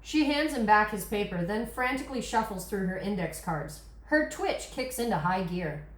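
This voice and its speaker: A woman's voice: medium pitch, lively intonation, normal speed, slight background noise. A woman narrator reading screenplay scene headings and action lines at a table read. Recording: echoey room recording.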